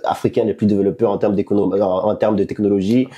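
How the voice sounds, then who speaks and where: A young man interviewing someone conversationally, haltingly repeating words as he searches, recorded on a lapel mic indoors. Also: lowish voice, little pitch movement, mellow, slightly rough. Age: young adult. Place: small meeting room, indoors. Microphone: clip-on lapel mic.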